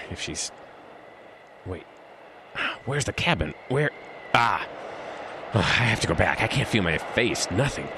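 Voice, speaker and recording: A man's voice: low-pitched, full character voice. A man playing a freezing, anxious groom-to-be in a horror audio drama, fretfully talking to himself, in a noisy recording.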